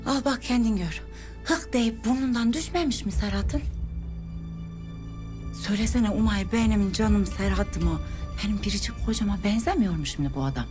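A woman's voice: mid-range voice, darkish tone, natural voice. A woman playing a bossy, brash village sister. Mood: coaxing. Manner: bossy, scolding, chatty. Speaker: older sister. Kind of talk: TV drama acting. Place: indoor village house room. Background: music.

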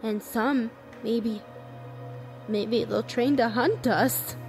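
Woman acting a slow-talking, cynical invasion survivor. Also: on the high side, darkish tone, faint music behind. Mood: defiant, scheming. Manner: blunt, folksy, clipped phrasing. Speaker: actor in an amateur radio drama. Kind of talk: radio play dialogue.

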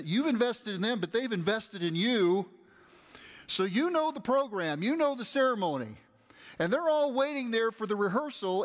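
A middle-aged man, a pastor, telling a story in a lively, conversational sermon while moving around a large church stage. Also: sits high, long reverb tail. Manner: animated, conversational, gestures while walking the stage.

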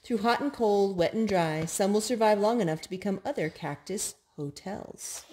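A woman's voice: mid-range voice, moderate pace, dark tone. Woman reading picture books aloud. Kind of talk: read-aloud storytime narration. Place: indoors at home.

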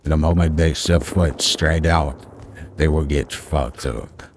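A man voicing a solemn documentary narrator, ominous and deadpan, through a voice changer. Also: very deep voice, slow, slight background noise, voice pushed down, heavily acted. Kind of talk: mock-dramatic narration over gameplay.

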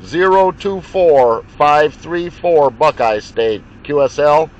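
A man's voice: on the high side, lively intonation, slow pace, very noisy. A man from the USA, a radio operator, speaking in a direct, procedural way. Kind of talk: radio contact exchange. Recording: muffled radio reception.

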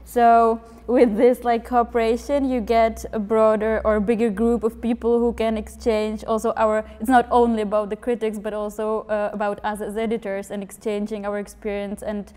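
A woman's voice: a little high, level tone, normal speed, hardly any pauses, mellow, clear, resonant tone, long reverb tail. A young woman, a magazine editor on a panel, talking eagerly with hesitant starts. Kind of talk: panel discussion. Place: room with curtains, seated on sofa.

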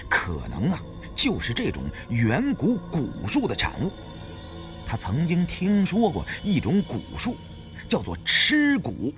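A man narrating an audiobook as a dramatic first-person storyteller. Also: a little high.

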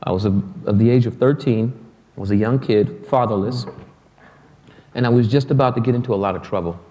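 A man's voice: mid-range voice, fairly quick, warm tone. A middle-aged man from Detroit, a guest musician telling stories in a loose, joking, profane way during an on-stage interview in front of an audience. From Detroit, USA. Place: hall with an audience. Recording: long hall reverb.

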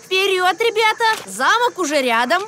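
A woman's voice: very high-pitched, big pitch swings, moderate pace, noisy background. A woman voicing a brave, eager little boy warrior in a cartoon, plucky and urging. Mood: plucky, excited. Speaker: cartoon character. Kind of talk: animated cartoon acting. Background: loud music.

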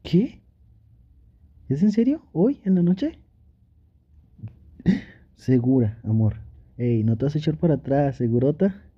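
A man acts out a young boyfriend in an ASMR roleplay, affectionate but pouty. His voice sits fairly high and is husky, coaxing and teasing as if to a partner right beside him. The sound is a little dull. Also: big pitch swings, slow, long pauses, heavily acted. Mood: eager, surprised. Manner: playful, pleading, sweet-talking with pet names. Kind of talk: Spanish ASMR boyfriend roleplay, intimate one-sided dialogue.

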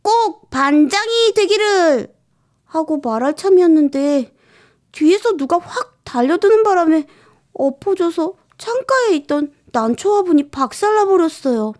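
A woman voicing an aggrieved young schoolboy who plaintively narrates his own troubles in expressive storybook style. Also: extremely high pitch, a little slow, pitched above the performer's own voice. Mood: dramatic.

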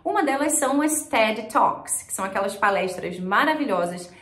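A young woman with a Carioca accent gives a lesson to the camera, emphatic and direct, firing questions at the viewer. Her voice sits a little high for a woman. The small room around her rings with a noticeable echo. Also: animated, normal speed, a bit bright. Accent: Carioca Brazilian Portuguese. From Rio de Janeiro, Brazil. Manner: emphatic, talks straight to the viewer with rhetorical questions. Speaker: English teacher. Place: small indoor room with plants and shelves.

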